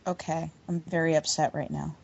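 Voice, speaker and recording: A woman's voice: sits low, level tone, brisk, gravelly, darkish tone. A woman chatting casually as a podcast co-host over a voice call. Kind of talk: casual fan chat. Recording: dull lo-fi internet call.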